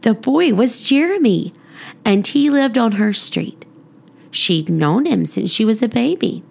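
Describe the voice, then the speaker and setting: A woman reading a children's story aloud as expressive narration for young listeners. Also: a little high, unhurried, creaky. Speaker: podcast storybook reader.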